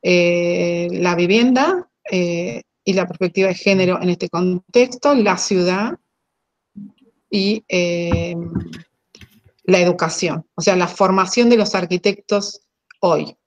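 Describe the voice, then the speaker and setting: A woman's voice: on the low side, slow pace. An Argentine woman moderating an online panel, speaking hesitantly with many fillers. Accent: Argentine Spanish. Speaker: webinar moderator.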